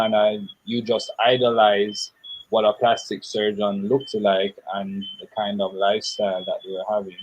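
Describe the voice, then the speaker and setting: A young man, answering interview questions in a thoughtful, measured way with pauses. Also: lowish voice, darkish tone, slight background noise, rough recording. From Jamaica. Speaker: interview guest.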